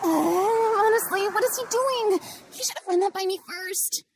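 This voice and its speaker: An actress voicing a proud but easily flustered young former stage star in a video game, speaking in a theatrical, expressive way. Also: female voice, extremely high pitch, normal speed, slight background noise. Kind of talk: scripted video game voice acting.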